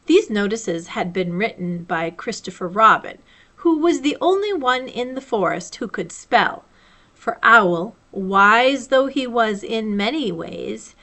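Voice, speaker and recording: Library lady reading a kids book out loud, nice n slow, measured, slightly high voice. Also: very animated. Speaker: library storyteller. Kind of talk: children's storybook read-aloud.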